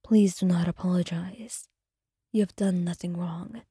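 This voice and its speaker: A woman voicing a gentle, protective male dragon spirit, speaking in a soft, formal whisper. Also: fairly low pitch, steady tone, measured pace, slightly rough, dry recording, studio quality, full character voice. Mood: tender.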